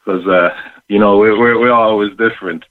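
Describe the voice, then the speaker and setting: Male guest, slightly low pitch, halting, enthusiastic, narrow phone-line audio. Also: fairly even intonation. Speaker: guest, rapper. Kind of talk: radio interview. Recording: thin phone-line sound.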